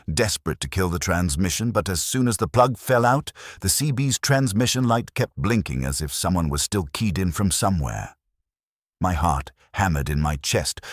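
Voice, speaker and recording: A man with an extremely low, husky voice narrates a scary tale in the first person. His delivery is suspenseful and dramatic, with lively rises and falls in pitch. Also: no echo, clean studio sound. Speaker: horror story narrator.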